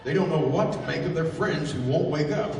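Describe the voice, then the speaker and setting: A man acting a gruff, loyal, excitable adventurer's sidekick in a 1930s-style pulp radio drama. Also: fairly high pitch, a little fast, lightly acted. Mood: blunt, excited. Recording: noisy, very echoey, distant hall.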